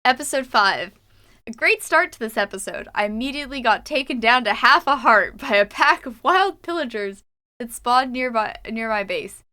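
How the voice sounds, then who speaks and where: A young Canadian woman chats her way through a gaming livestream, sometimes laughing and sometimes reading her own journal entries aloud. Her voice is bright and very clean, sitting a little high. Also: lively intonation, moderate pace. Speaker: streamer. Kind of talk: casual livestream chat while gaming.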